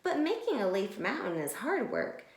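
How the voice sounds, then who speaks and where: A woman's voice: mid-range voice, huge pitch swings, normal speed. A woman teacher reading a picture book to young children and explaining it simply and expressively. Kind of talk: children's read-aloud and mini lesson. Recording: echoey room.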